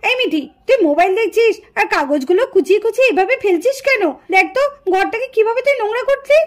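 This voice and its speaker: A woman's voice: very high voice, expressive, quick pace. A woman voicing a studious, exasperated young girl, an older sister who complains and pleads, in a puppet doll cartoon.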